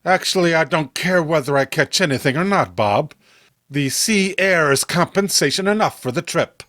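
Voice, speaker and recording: Man acting earnest, sensible friend, expressive pitch. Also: moderate pace, dry recording, studio quality. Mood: easygoing. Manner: earnest, urgent, reasoning. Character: sensible, worried adult man, loyal old friend. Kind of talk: horror comic read-along acting.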